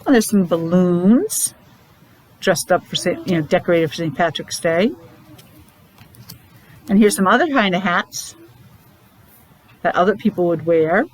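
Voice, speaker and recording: A woman's voice: fairly low pitch, expressive, rough recording. A woman preschool teacher leading a counting lesson for young children, encouraging and asking lots of questions. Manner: encouraging, asks questions with pauses.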